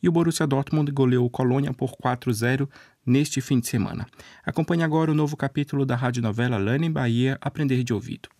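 A man's voice: mid-range voice, fast, a little mellow. A man reading a scripted radio news bulletin as a news presenter. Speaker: news reader.

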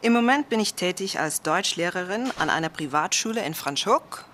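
Woman, 30s, bright clear tone, earnest teacher introducing herself, faint background hiss. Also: fairly low pitch, expressive, moderate pace. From South Africa. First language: Afrikaans. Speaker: German teacher. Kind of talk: prepared personal self-introduction.

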